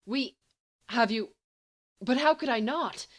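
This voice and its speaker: A woman voicing an earnest, flustered, irritable teenage boy in audiobook dialogue, with halting delivery. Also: fairly high pitch, voice pushed up. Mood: distraught. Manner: halting, stammering.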